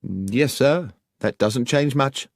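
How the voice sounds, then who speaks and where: A man's voice: mid-range voice, slow, creaky, no room sound, clean studio sound, voice pushed up. A man playing a cautious, deferential railway station master who is careful of his good name, in an audiobook. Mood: anxious. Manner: deferential, hesitant.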